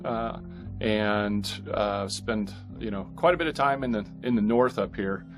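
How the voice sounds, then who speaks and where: A middle-aged American man speaks in a fairly low voice as a podcast guest. He talks casually, feeling his way through meandering sentences. Soft music sits faintly behind him. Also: a little slow. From northeastern California, United States. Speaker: podcast guest, author and pastor. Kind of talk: casual conversational interview.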